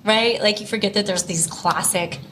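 A slightly hoarse woman hosts a casual chat, talking through wellness ideas with loose, chatty fillers. Her voice sits at an ordinary middle pitch. A faint hum of room noise sits behind her. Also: normal speed. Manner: chatty, talks through health tips with fillers. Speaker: host. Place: podcast table in a home studio.

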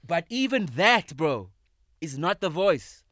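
A man in his thirties, a comedian guest in a podcast studio, talking casually, emphatic and animated, swearing freely. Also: fairly high pitch, takes it slowly, dry recording. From South Africa. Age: 30s. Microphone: studio microphone.

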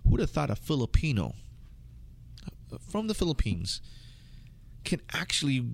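A man's voice: medium pitch, very animated, a little slow, vocal fry. An American man chatting casually in a rambling, slangy way. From United States. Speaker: interview guest. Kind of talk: casual conversation.

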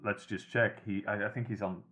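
Guy with a lowish voice hosting a hockey pod, casual, kinda measured. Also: steady tone, fairly bright tone, breathy edge. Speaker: podcast host. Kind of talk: casual hockey podcast chat.

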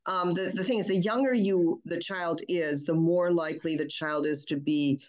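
Pediatrician guest, woman, native German speaker raised in Michigan; low voice, halting explainer. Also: steady tone, highs cut off, crowd noise. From Michigan, USA. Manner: halting, many ums and restarts. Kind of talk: explanatory interview talk.

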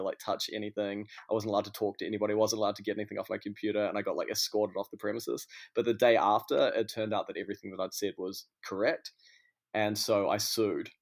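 Man, thirties, New Zealand accent, low even pitch, casual storytelling, interview guest. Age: 30s.

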